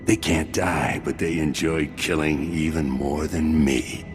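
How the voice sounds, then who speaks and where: An actor playing a menacing male pirate thug in a video game, with a gravelly voice and slow, threatening manner. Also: very deep voice, steady tone, music in the background. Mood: grim, menacing.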